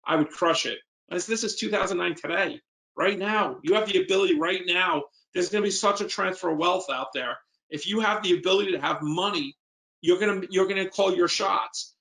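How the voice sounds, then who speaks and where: Higher-pitched New York man, plain-spoken. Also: a bit bright, rough recording. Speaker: real estate investor, guest speaker. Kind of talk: informal presentation, answering questions.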